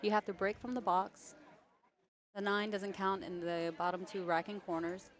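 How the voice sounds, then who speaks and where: A woman hosting live pool coverage who chats warmly with her co-commentator and explains the rules of the match. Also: mid-range voice, flat delivery, moderate pace. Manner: friendly, explains rules, laughs often.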